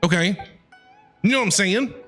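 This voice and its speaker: A man's voice: sits high, normal speed, a bit bright. A man streaming a game, reacting with opinions, repeating himself and reading text aloud in a casual way. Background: faint game music.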